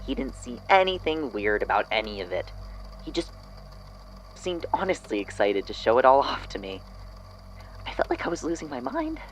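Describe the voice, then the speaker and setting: A man's voice: mid-range voice, a bit bright, slight background noise, low-quality recording. A man acting as an unsettled narrator in an audio drama, hesitantly and reflectively recounting an eerie memory. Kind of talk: audio drama statement, hesitant first-person storytelling.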